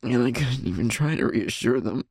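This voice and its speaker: Male actor as sweet wounded werewolf boyfriend, intimate audio drama. Husky and creaky, close and dry, softly pleading. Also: medium pitch, animated, no echo. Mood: anguished, guilt-ridden. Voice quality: breathy, husky, often near whisper. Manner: halting, emotional, softly pleading.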